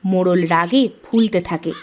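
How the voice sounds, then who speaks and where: A woman narrating a scripted fairy tale for an animated cartoon. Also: lowish voice, moderate pace.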